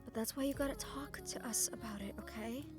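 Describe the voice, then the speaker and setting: A woman's voice: fairly high pitch, brisk, whispered, lightly acted. Woman acting caring, teasing supernatural sweetheart over music. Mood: gentle concern. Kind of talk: fantasy romance audio roleplay acting. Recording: music behind the voice.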